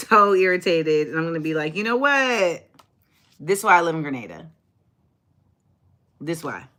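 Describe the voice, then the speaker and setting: A woman in her forties hosting a casual livestream, chatty and sassy, talking straight to viewers. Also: mid-range voice. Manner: chatty, sassy, animated, reads and answers viewer comments. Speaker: livestream host.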